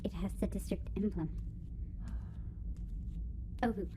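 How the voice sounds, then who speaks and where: A woman's voice: medium pitch, slow, noisy background, close to an everyday voice. A woman playing an anxious female space crewmate in an audio roleplay drama. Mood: anxious, urgent.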